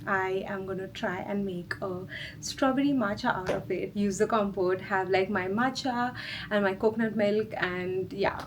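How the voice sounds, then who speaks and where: Casual female lifestyle vlogger, mid-pitched voice, chatty and informal, with noticeable background noise in the recording. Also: normal speed. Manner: informal, chatty, frequent "like" as filler. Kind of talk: casual vlog chat.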